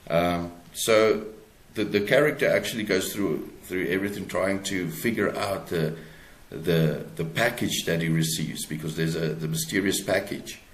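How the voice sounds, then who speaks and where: Man, South African accent, very low pitch, halting explanatory delivery, interviewed author, echoey recording. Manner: halting, searching for words. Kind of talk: conversational interview, explaining own book. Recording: roomy, echoey sound.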